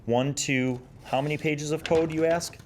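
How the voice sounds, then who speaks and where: A man's voice: fairly high pitch, fairly even intonation, moderate pace, slight background noise. A man hosting a retro gaming review, talking casually and thinking aloud as he goes. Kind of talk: casual rambling review commentary.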